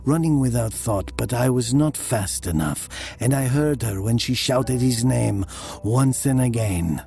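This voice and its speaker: An actor playing a haunted, guilt-ridden killer, narrating his confession in a brooding, deliberate, sometimes hushed manner. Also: medium pitch, vocal fry. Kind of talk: dramatic first-person literary narration. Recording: music behind the voice. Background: music.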